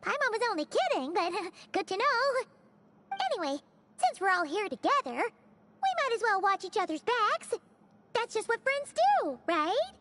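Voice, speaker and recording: Squeaky, childlike fairy companion. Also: female voice, unhurried, a little hiss or hum, full character voice. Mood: eager. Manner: speaks of herself in third person, excitable. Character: tiny chirpy talkative fairy companion, cheerful and bossy. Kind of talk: video game voice acting. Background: game music.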